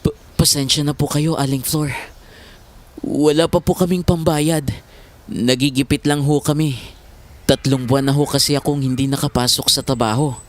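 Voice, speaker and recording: A man's voice: fairly high pitch, steady tone, moderate pace, lightly acted. An actor playing a humble, worried Filipino husband and father in a family drama, speaking in a troubled, apologetic way.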